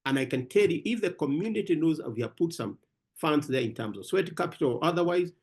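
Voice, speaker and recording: A man with a somewhat high, gravelly voice answers questions at an online conference. His pitch swings widely as he presses his points, and he often repeats words before landing them. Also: expressive, fast, darkish tone. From Africa. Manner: emphatic, repeats words, addresses listener as brother. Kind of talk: animated panel talk.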